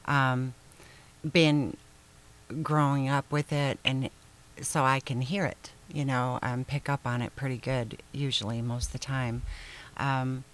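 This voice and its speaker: Older woman singer-songwriter from michigan, soft and hesitant, lots of ums. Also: very deep voice, flat delivery, a little slow. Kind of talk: casual interview chat.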